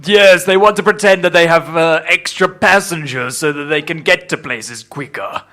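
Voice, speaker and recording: A man's voice: fairly high pitch, moderate pace, pushed and bright. A man playing a flustered, earnest government minister in an improvised comic news interview.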